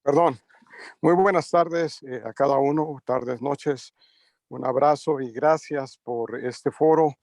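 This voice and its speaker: A man giving spontaneous remarks at a book forum as a migrant community organizer, halting and earnest with many fillers. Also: medium pitch, darkish tone, no room sound.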